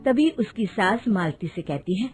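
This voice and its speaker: A woman's voice: mid-range voice, animated, measured pace, darkish tone. A woman narrating a scripted cartoon story as its storyteller. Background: background music.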